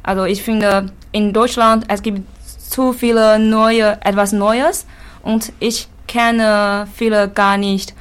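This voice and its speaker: Slow Chinese-accented young woman. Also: mid-range voice, little pitch movement, smooth. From China. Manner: halting, many hesitation fillers. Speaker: exchange student. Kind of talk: interview answers in learner German.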